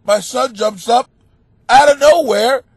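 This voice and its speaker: A man and comic hosting a podcast, with a stuffed-up nose, telling stories in a profane, animated rant that breaks into shouting. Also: very high voice, slow, breathy edge, no echo, studio quality. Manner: animated, swearing, bursts into shouting. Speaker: stand-up comic and podcast host. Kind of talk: profane comedic rant monologue.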